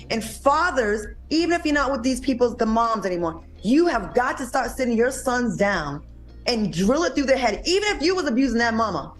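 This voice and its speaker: A woman rants to her audience in an emphatic way. Her voice is high and very animated. Faint music plays behind her. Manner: emphatic, addresses listeners as "y'all". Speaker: online commentator. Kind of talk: impassioned video rant.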